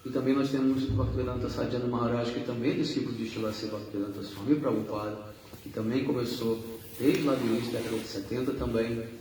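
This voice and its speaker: A man's voice: mid-range voice, fairly even intonation, fast talker, a little mellow. A man introducing guest speakers at a religious gathering, rambling and correcting himself, with many fillers. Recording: echoey hall, distant mic, faint hum.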